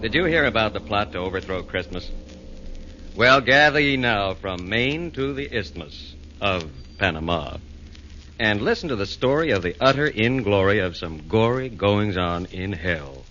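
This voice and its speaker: A man's voice: fairly low pitch, expressive, normal speed, pushed and bright, slight background noise. A man narrating a rhyming comic radio drama in a theatrical, sing-song manner. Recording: dull old radio broadcast.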